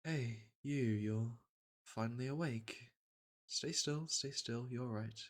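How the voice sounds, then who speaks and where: A man playing a caring veteran monster hunter in a soft-spoken ASMR fantasy roleplay, with a reassuring, hesitant manner. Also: medium pitch, slow pace, close to an everyday voice. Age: middle-aged. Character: veteran monster hunter, gruff but caring male patroller. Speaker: roleplay voice actor.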